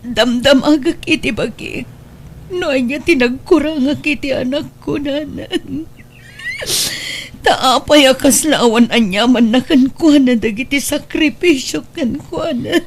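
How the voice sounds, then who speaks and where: A woman with an Ilocano accent and a husky voice, acting a hurt, self-sacrificing mother in a radio drama. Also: sits high, slow, slight background noise.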